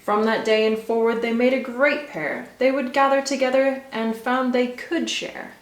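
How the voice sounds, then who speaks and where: A woman reading a rhyming children's story aloud with expressive, rhythmic delivery. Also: a little high, moderate pace. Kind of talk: scripted storybook narration for children. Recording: echoey room.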